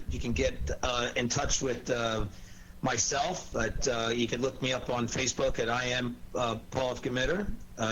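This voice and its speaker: A man hosting informal cast introductions as an announcer, speaking in a halting, hesitant way. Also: mid-range voice, a bit bright, rough recording. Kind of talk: informal curtain-call hosting. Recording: faint noise, room ambience.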